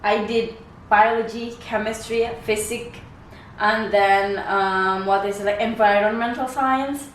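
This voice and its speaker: A woman's voice: medium pitch, steady tone, unhurried. A young woman from West Java with an Indonesian accent, co-hosting a casual podcast chat and talking in loose, wandering sentences with frequent restarts. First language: Sundanese. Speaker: podcast co-host. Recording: echoey room, mic at a distance, faint noise.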